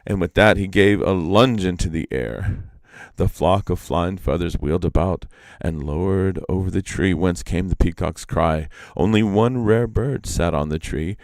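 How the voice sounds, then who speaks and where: Deep, gravelly theatrical male storyteller. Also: dry recording. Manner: theatrical, expressive. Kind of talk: dramatic live reading of folk legends.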